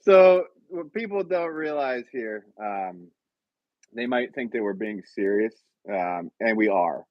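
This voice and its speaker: A man from Connecticut chats slowly on a live podcast, his pitch swinging widely as he rambles and laughs. The sound is dry and slightly dull, like a lo-fi mic. He fills gaps with ums and uhs. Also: animated, no echo. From Connecticut, USA. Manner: rambling, laughs often, many fillers. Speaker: podcast host. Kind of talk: casual live-stream chat.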